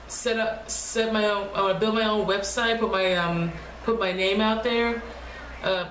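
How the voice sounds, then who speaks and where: A young woman speaking quietly in a low, deep voice, talking haltingly and restarting her words often in a casual personal vlog at home. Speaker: vlogger. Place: home room. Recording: echoey room with faint noise.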